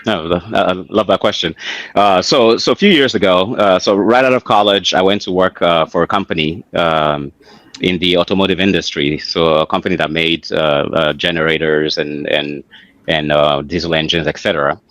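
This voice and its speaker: A man's voice: deep voice, normal speed, a bit bright, no room sound. A middle-aged man with a Nigerian accent, speaking as an interview guest in a reflective, hesitant way, often repeating words as he searches for the next. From Lagos, Nigeria. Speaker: interview guest, author and engineer. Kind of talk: conversational interview storytelling.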